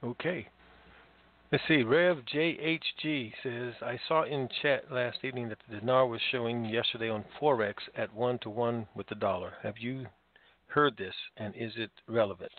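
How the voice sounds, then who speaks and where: A man's voice: mid-range voice, steady tone, normal speed. A man co-hosting a call show, informally reading out listener questions and bringing callers onto a phone line. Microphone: phone conference line. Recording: dull lo-fi conference call audio.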